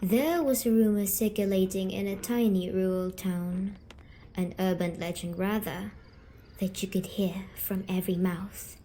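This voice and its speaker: Woman, slow and mellow, soft coaxing ASMR storyteller playing a gentle, eerie night demon, often dropping to a whisper. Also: medium pitch, steady tone, a little hiss or hum. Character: gentle, patient, eerie female sleep paralysis demon comforting a dreamer. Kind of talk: scripted ASMR roleplay storytelling.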